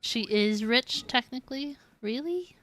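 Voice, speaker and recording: A woman's voice: sits high, expressive, a little slow, a bit bright, slight background noise. A woman livestreaming a game with casual reactions, in a gushing, giggly way. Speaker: game streamer.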